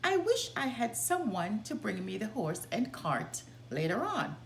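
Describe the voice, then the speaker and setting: A woman's voice: medium pitch, sing-song, measured pace, gravelly, slight background noise. A woman voicing a poor, loving woodcutter father in a storybook, earnest and tender. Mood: wistful.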